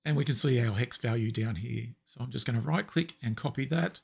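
A man with a raspy voice explaining software steps in a relaxed, step-by-step tutorial. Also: medium pitch, brisk. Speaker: tutorial presenter. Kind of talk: screen-recorded software tutorial.

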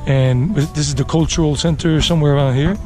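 A man in his late forties hosts a casual walking tour, speaking to his viewers in a slightly high voice. He rambles and thinks aloud as he goes. He is outdoors on a city street with a handheld camera, and music is clearly heard behind him. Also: steady tone, a little fast. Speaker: travel vlogger and walking tour host. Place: outdoors, walking a leafy urban residential street.

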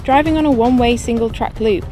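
A woman's voice: a little high, quick pace, mellow, faint music behind. English woman narrating a travel vlog. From England. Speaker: sailing vlogger. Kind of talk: casual vlog talk and voice-over narration.

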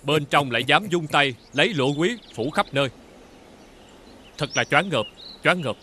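An actor playing a florid, declamatory official judging a contest in a fairy-tale costume film. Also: male voice, fairly high pitch, animated, normal speed, bright, forceful tone, slight background noise. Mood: awed.

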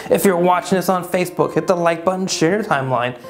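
Chatty woodworker guy in his 30s, kinda gravelly, a bit high, giving a shop update indoors. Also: fast talker, faint music behind. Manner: upbeat, chatty, talks with his hands. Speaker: woodworking channel host. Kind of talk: casual shop update vlog. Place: indoor furnished room.

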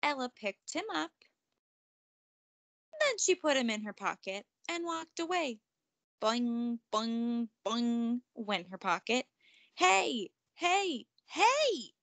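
A woman reading a children's picture book aloud as narrator, expressive and emphatic. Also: fairly high pitch, slow pace, no echo. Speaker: storybook reader.

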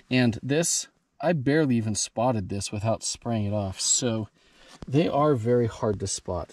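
A man's voice: medium pitch, warm tone, no echo. A man vlogging outdoors, enthusiastically showing fossils and cut rocks to his viewers in casual show-and-tell narration. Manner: enthusiastic, talks to viewers while handling rocks. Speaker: rockhounding vlogger. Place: outdoors at a mine site and a backyard. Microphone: action camera mic.

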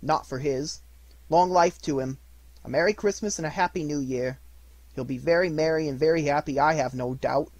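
A man voicing an indignant, sharp-tongued poor mother in a raised, husky voice. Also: fairly high pitch, normal speed, dry recording, voice pushed up. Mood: scornful. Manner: bitter, scornful.

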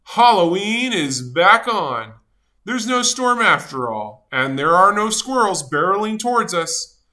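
A woman's voice: mid-range voice, big pitch swings, unhurried, pushed and bright. A woman voicing a grumpy, dramatic but good-hearted male sunflower seed in a children's storybook, with theatrical, self-important delivery. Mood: excited, resolved. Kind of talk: animated children's storybook read-aloud.

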